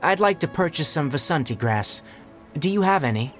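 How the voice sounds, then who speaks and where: A man's voice: on the high side, lively intonation, normal speed, a bit bright, faint music behind. A man playing a composed, blunt young forest ranger and healer in a game, explaining things in an articulate, matter-of-fact way. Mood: focused, decisive. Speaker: forest watcher. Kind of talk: English game dub dialogue.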